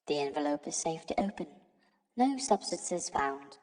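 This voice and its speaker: A woman's voice: deep voice, lively intonation, a little slow, background noise. A woman voicing a composed, helpful AI house assistant in an audio drama, polite and procedural. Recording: long reverberant hall echo.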